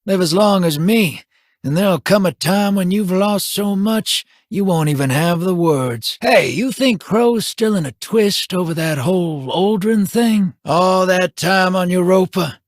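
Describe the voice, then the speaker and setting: An actor voicing a wily, scruffy male gambler in a video game, drawling folksy wisecracks in a husky voice. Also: high voice, measured pace, no room sound, studio quality. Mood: sly.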